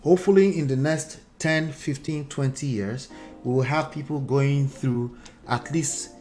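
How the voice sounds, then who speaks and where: Animated Nigerian man on a livestream. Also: mid-range voice, measured pace, faint music behind. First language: Akure dialect. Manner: animated, repeats himself, reads out viewer comments. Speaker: relationship coach host. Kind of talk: livestream commentary.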